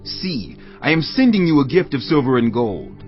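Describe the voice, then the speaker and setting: A man's voice: medium pitch, very animated, moderate pace, muffled recording, soft background music, close to an everyday voice. An actor playing a king of Judah in a formal, declarative style for a dramatized audio Bible. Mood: formal, urging. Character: king of Judah proposing a treaty to an ally.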